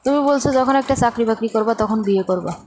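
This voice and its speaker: Fast-talking Bangladeshi woman, higher voice. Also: darkish tone. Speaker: caller. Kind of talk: casual phone chat. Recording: faint noise, clicks, phone call.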